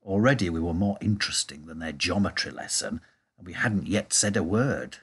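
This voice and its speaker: A man reading a children's storybook aloud in a measured, expressive way. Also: sits low, husky. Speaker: audiobook reader. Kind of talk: storybook narration.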